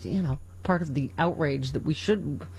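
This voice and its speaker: A woman's voice: low voice, moderate pace, creaky, darkish tone, slight background noise, low-quality recording. A middle-aged woman hosting a political talk radio show, reading news items and joking sardonically between them. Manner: sardonic, laughs often, reads news items aloud.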